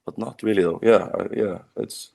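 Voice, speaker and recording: A man's voice: lowish voice, steady tone, moderate pace, vocal fry, darkish tone. A young man with an Icelandic accent, a band singer answering interview questions in casual English that is not his first language. He searches for words and leans on "like" as he talks. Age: young adult.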